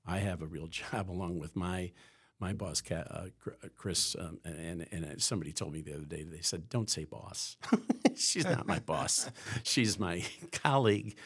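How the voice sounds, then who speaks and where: A man's voice: low voice, moderate pace, a bit bright, husky. A man from the Chicago area, a podcast guest from a school's staff, talking in a rambling way with many restarts. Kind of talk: conversational podcast interview.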